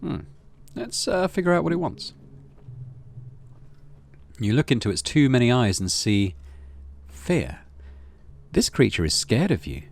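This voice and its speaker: A man's voice: medium pitch, big pitch swings, a little slow, creaky, pauses often. A middle-aged man hosting a gaming video, reading story text aloud and adding casual commentary. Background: game music.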